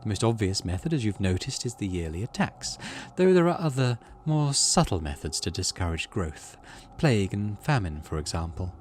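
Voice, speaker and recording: A man voicing a calm, polite alien forest dweller in a video game, with measured, formal, gently wry phrasing. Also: on the low side, slight background noise. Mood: grave, composed.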